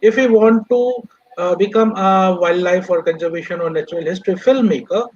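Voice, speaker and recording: Brief: high-voiced man moderating, halting, muffled lo-fi line. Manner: halting, many fillers. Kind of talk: live Q&A moderating. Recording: dull lo-fi audio, faint hum.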